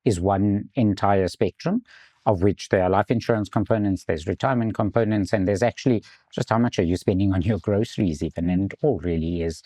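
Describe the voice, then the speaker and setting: A man from South Africa, an insurance executive, explaining finance in a measured way in an interview. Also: lowish voice, dark tone, no room sound. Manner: measured, explanatory, some restarts.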